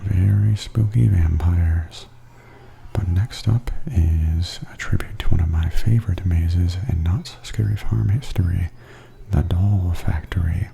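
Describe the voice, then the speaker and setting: Very deep, hushed, slow-talking man. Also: slight background noise. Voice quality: soft, breathy, half-whispered. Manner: calm, unhurried, gently descriptive. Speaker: ASMR video host and tour narrator. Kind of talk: softly spoken ASMR tour commentary.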